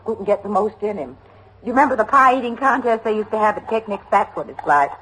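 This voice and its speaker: An actress playing a chatty, homely middle-aged American widow in a radio drama, rambling on eagerly to please. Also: female voice, medium pitch, quick pace, fairly bright tone, a little hiss or hum, lightly acted, low-quality recording. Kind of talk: old-time American radio drama acting.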